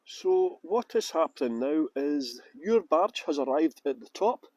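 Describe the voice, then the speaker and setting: Scottish guy doing tour commentary outside, high voice that jumps all over, jokey. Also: animated, a little slow, a little mellow, no echo. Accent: Scottish, Edinburgh. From Scotland. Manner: jokey, playful mock pronunciations. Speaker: tour guide. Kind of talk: live tour guiding commentary. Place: outdoors by a canal boat lift.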